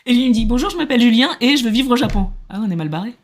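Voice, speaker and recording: A French woman in her thirties, a podcast guest, telling her story in lively casual talk and acting out what others said. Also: on the high side, rapid-fire. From France. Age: 30s. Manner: animated, acts out quoted dialogue, laughs often.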